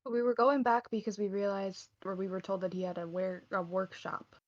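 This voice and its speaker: A young woman chats with her gaming group in a quick, teasing way. Her voice sits in a middle range. The audio is dull and lo-fi. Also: medium pitch, flat delivery. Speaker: player. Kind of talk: casual chat.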